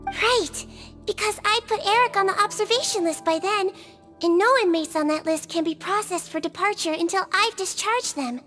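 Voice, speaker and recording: Game head nurse: tiny, sweet, precise, very high voice, music underneath. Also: female voice, moderate pace, a bit bright. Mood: earnest, thoughtful. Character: small, cute, cheerful female nurse creature, earnest clinician. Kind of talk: video game character acting. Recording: music behind the voice.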